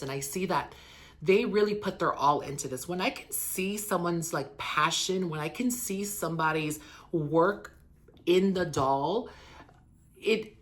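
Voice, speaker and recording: Hoarse, chatty man vlogging an unboxing. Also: fairly bright tone. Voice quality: lower than usual because of the illness. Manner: chatty and rambling, with frequent ums and likes; eager and earnest about the doll. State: hoarse from being sick. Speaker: doll collector and channel host. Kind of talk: casual unboxing and review vlog.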